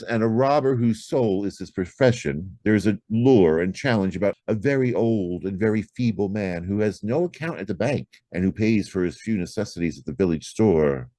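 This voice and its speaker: A man reading a horror story aloud as an audiobook narrator, with theatrical, expressive delivery. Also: low voice, no echo.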